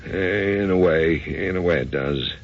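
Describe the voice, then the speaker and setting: An American man playing a troubled, insistent husband and narrator in an old-time radio drama, with a gravelly voice. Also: a little slow. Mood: anxious, hesitant. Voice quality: very deep, gravelly. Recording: dull, noisy old radio recording.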